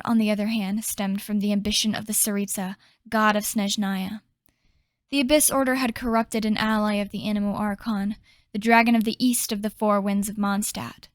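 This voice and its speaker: A woman playing a solemn storyteller, narrating a fantasy game legend in a measured way. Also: medium pitch, no echo, studio quality, natural voice.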